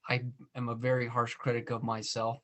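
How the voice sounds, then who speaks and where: A man's voice: medium pitch, completely flat delivery, fairly quick, fairly bright tone. A man hosting a podcast, chatting casually with lots of "you know" and "I mean". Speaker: podcast host. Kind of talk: casual interview chat.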